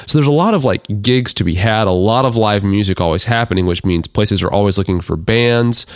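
A man with a deep baritone, hoarse from allergies, hosting a casual solo podcast, rambling with many restarts and fillers. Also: fairly quick, no echo, studio quality. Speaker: podcast host.

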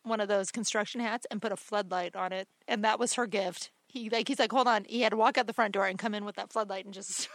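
A woman co-hosting a casual chat, with a fairly deep voice, telling family stories and laughing often. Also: fairly even intonation, fast, few pauses, fairly bright tone, no echo. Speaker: co-host.

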